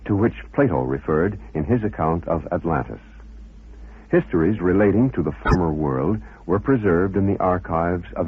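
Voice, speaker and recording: Male narrator, very low register, measured scholarly read, heard through narrow, phone-like radio audio. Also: husky. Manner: formal, measured, expository. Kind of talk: scripted narration, reading scholarly text. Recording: muffled phone-like old radio recording.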